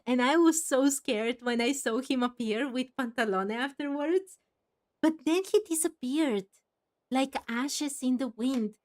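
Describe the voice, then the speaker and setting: A woman's voice: on the high side, a little mellow. A woman hosting a casual game playthrough, chatting and reacting expressively as she goes. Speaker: gaming video host.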